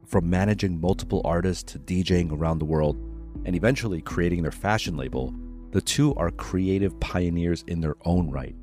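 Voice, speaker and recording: A man with a low voice hosts a studio podcast, reading a polished scripted introduction and then chatting casually with his guests. Music plays clearly under his intro. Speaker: podcast host. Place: New York studio.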